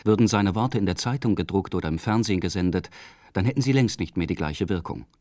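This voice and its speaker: A man reading a scripted radio documentary in a calm, measured way. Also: low voice, no room sound, clean studio sound. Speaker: radio feature narrator.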